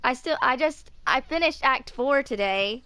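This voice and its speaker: A woman's voice: high-pitched, lively intonation, unhurried, bright, forceful tone, smooth, no echo. A woman in her twenties chatting casually while streaming a video game, rambling and hesitant as she goes. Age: 20s. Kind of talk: casual live gameplay chat.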